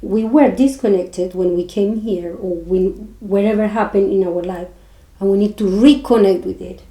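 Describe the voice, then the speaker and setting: A woman with a Spanish accent co-hosting a podcast, talking earnestly and haltingly with many restarts. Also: medium pitch, steady tone, mellow, reverberant, poor audio. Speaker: podcast co-host. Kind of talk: casual chat.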